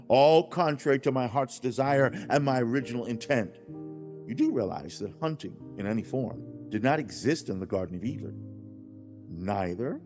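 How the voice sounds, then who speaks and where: Man acting as God, stern fatherly teacher, solemn lecturing tone, mid-pitched, very animated, dramatized audiobook, music behind. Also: lightly acted. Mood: solemn, grieved. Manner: solemn, declamatory, lecturing with rhetorical questions. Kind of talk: dramatized religious audiobook monologue.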